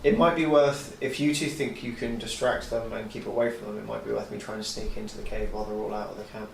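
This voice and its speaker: A man's voice: lowish voice, steady tone, fast talker. A man talking casually as a player in a tabletop role-playing game. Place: indoor room with other players. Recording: echoey room, clearly noisy background.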